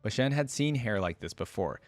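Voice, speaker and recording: Low-voiced man, dry close sound. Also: lively intonation, moderate pace, dry recording. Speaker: reaction video host reading lore aloud. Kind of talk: casual commentary mixed with reading story text aloud.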